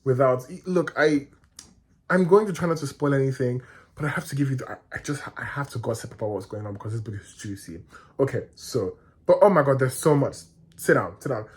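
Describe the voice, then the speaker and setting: Excitable man gushing about books. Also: medium pitch, a little fast, slightly rough. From Nigeria. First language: Yoruba. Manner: excitable, gushing, rambling with restarts. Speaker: book vlogger. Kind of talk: casual reading vlog chat.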